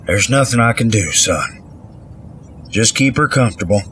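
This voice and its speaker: Older small-town doctor, folksy and kind, slow-talking but bright-voiced, audio drama. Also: male voice, on the low side, expressive, a little hiss or hum. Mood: grave. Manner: folksy, drawling endearments. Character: folksy older male country doctor, kindly and unhurried.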